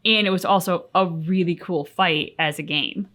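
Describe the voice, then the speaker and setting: A woman's voice: on the low side, moderate pace, a bit bright. A woman co-hosting a reaction channel, talking casually and reflectively in an earnest, articulate, emphatic way.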